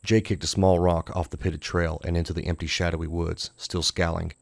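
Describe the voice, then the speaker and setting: A man's voice: sits low, little pitch movement, fairly quick, gravelly, dry recording, studio quality. A man narrating a scripted horror short story as an audiobook.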